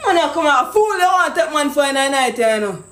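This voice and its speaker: A man's voice: very high voice, animated, fairly quick, pushed and bright, reverberant. A man with a Jamaican accent making a prank phone call, talking pushy and accusing in thick patois. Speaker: prank caller.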